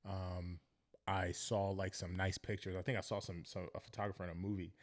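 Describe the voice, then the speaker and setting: A man's voice: low voice, level tone, fairly quick. A young man from Northern Virginia, a guest on a podcast, talking in an earnest, reflective way and often checking in with "you know what I mean.". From Northern Virginia, United States. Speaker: podcast guest, professional football player. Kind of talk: casual interview chat.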